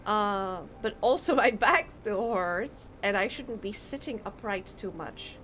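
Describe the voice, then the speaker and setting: A woman's voice: mid-range voice, lively intonation, a little slow, fairly bright tone. A woman live-streaming a game, rambling casually and chatting with viewers, her throat a little sore. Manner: rambling, thinks aloud, chats with viewers. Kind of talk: casual live-stream chat while playing. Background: faint game music.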